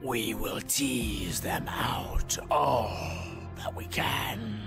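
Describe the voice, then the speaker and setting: An actor playing a sinister, zealous inquisitor villain in a deliberate, insinuating monologue that slips into whispers. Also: male voice, medium pitch, sing-song, creaky, fairly bright tone, soft background music, full character voice. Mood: quiet menace.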